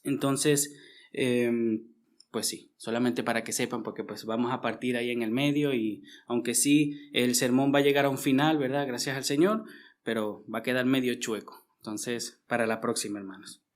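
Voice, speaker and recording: A man's voice: medium pitch, fairly even intonation, moderate pace. Venezuelan man preaching a sermon. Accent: Venezuelan Spanish. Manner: conversational, frequent tag questions and restarts.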